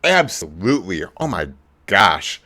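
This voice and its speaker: A man in his forties hosting a casual live stream about movies, talking in a rambling way with lots of ums and restarts, his voice a bit lower than usual. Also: very animated, a little slow, pushed and bright. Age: 40s. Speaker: movie channel host.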